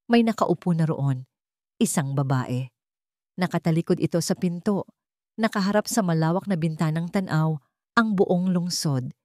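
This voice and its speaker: A woman narrating a dramatic story in a steady reading voice. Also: fairly low pitch, normal speed, long pauses, dry recording, studio quality.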